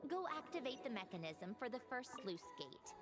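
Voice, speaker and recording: A calm young heroine in a fantasy game, soft and reflective in how she speaks. Her voice sits fairly high and rises and falls a great deal, measured even in quiet moments. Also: over music. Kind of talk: game voice acting.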